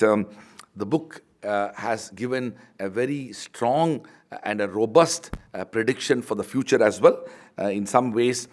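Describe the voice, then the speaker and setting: A middle-aged man with an Indian English accent, a Tamil native speaker, giving a formal speech at a book launch as a university vice chancellor, with measured academic phrasing and frequent pauses. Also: mid-range voice.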